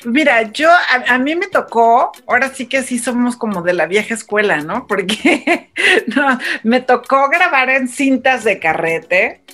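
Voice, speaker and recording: High-voiced middle-aged Mexican woman chatting. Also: animated, unhurried, sharp tone, soft background music. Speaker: radio announcer and panel guest. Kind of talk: casual anecdotal chat.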